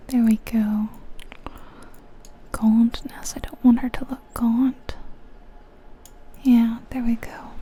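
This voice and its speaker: A woman whispering softly in an ASMR style, musing aloud over a game in an unhurried way, close to the microphone. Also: fairly high pitch. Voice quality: soft, breathy whisper. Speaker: ASMR video creator.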